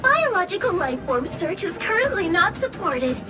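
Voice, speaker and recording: An extremely high, bouncy little appliance robot from a video game. It is officious and chirpy, swinging widely in pitch as it recites corporate notices between spoken beeps. Loud game music plays behind it. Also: female voice, sing-song, moderate pace. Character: chirpy beeping robotic lost-item finder appliance, officious.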